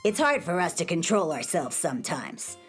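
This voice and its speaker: A woman's voice: low-pitched, very animated, normal speed, over music. A woman voicing a young, hungry, sheepish and easily worried piglet from space in a children's audio drama.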